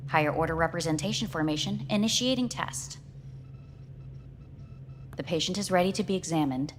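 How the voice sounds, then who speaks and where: A woman's voice: lowish voice, animated, moderate pace, natural voice. A woman playing a cold, procedural doctor in a video game, giving clipped, impersonal test instructions. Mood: detached, procedural. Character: cold, procedural female doctor running cognitive tests. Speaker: medical examiner. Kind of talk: scripted clinical instructions. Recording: clearly noisy background ambience.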